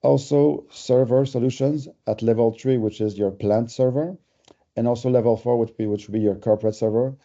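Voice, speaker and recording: A man's voice: mid-range voice, fairly even intonation, moderate pace, dark tone, highs cut off. A man with a French Canadian accent, giving a conversational, explanatory webinar presentation with everyday examples. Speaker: company presenter.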